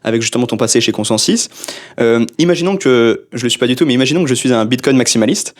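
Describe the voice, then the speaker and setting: A man co-hosting an interview, who asks short, lively questions and adds brief affirmations. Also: mid-range voice, fast talker. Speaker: podcast co-host.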